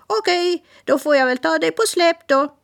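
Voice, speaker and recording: A woman voicing a chatty female parrot with a very high, shrill squawking voice that repeats its greetings. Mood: chirpy.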